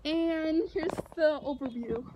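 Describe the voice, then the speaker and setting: A woman's voice: high voice, takes it slowly, dull audio. A teenage woman vlogging casually to her phone at arm's length, rambling and giggling with lots of fillers, outdoors by a treehouse. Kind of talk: casual vlog talk to camera. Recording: noisy phone audio outdoors.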